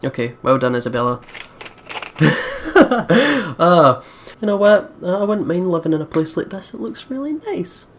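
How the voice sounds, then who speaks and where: A woman hosting a let's play, reading visual novel narration and reacting in casual, expressive, sweary commentary. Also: fairly low pitch, normal speed, pauses often, a little hiss or hum.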